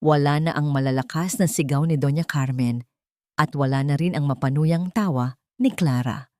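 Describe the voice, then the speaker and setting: A woman's voice: low voice, warm tone, dry recording, clean studio sound. A woman reading a scripted dramatic story in a solemn, melodramatic manner. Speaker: storyteller narrator.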